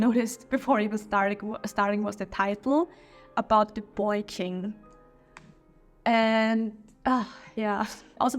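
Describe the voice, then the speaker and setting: German-accented woman reviewing history, chatty. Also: a little high. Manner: chatty, opinionated, with small slips and restarts. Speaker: history channel host. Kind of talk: casual video review.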